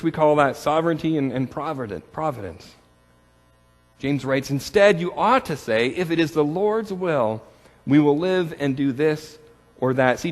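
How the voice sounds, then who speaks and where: A man from Canada preaching a sermon, telling stories with gentle humor and often repeating words as he goes. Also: a little high, in a large hall. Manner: storytelling with self-deprecating humor, repeats words. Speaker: pastor.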